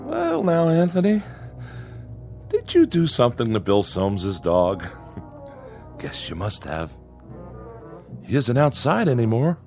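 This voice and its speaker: Jittery rustic father, placating and stammering, highly animated intonation, radio play with music underneath. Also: gravelly, full character voice. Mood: anxious, soothing. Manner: folksy, rustic grammar; nervous, forced cheerfulness with halting stammers. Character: anxious small-town father placating his feared son. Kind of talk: radio drama acting.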